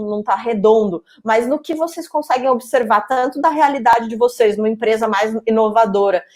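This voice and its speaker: A woman hosting a webinar discussion, talking at length and circling back with restarts. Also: fairly high pitch. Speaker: webinar host. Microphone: video call.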